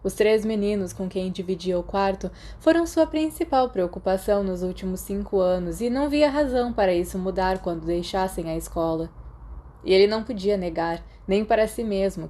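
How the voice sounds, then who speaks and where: A woman's voice: mid-range voice, moderate pace, warm tone. A woman with a Brazilian accent reading scripted fiction as an audiobook narrator.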